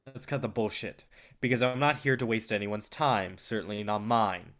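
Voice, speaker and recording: A man's voice: lowish voice, steady tone, moderate pace, fairly bright tone, close to an everyday voice. Man acting a brash, arrogant film executive. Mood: blunt, persuasive. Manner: blunt, cocky. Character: brash, arrogant male film distributor, impatient and foul-mouthed. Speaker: actor in a staged reading. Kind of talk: stage play reading.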